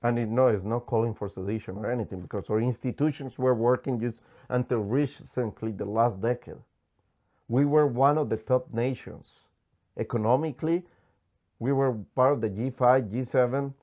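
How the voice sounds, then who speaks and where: A man's voice: medium pitch, normal speed, darkish tone. Colombian guy, spanish is his first language, fired up and emphatic, sounds a bit dull and lo-fi. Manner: passionate, emphatic, non-native phrasing. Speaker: guest economist. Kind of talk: candid political interview.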